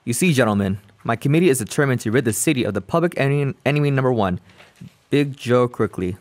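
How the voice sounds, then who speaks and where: A pompous, earnest civic reformer, acted by a man with an ordinary middle-pitched voice. He speaks in stiff, formal speeches, as in an old radio drama. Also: animated, quick pace, close to an everyday voice. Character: earnest pompous crime-fighting committee man. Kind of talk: radio play acting.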